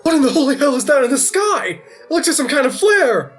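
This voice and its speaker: An actress playing a startled, frightened news crew member who exclaims in alarm. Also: female voice, high voice, sing-song, a little fast, faint music behind.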